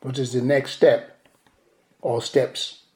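A male pastor whose first language is Yoruba preaches a sermon in a measured way, repeating key phrases for emphasis. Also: medium pitch, little pitch movement, darkish tone. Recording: echoey room.

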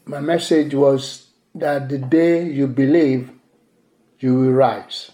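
A male pastor whose first language is Yoruba preaches a sermon in a measured way, repeating key phrases for emphasis. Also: mid-range voice, steady tone, dark tone. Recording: echoey room.

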